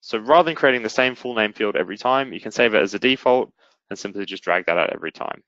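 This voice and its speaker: Quick-talking man presenting a software demo. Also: lowish voice, no echo, dull audio. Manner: instructional, step-by-step. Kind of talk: explanatory webinar demo narration.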